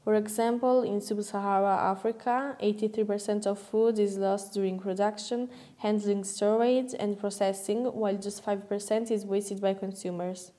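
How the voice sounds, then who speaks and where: Flat-voiced young woman. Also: mid-range voice, moderate pace, hardly any pauses. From Italy. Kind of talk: reading facts aloud.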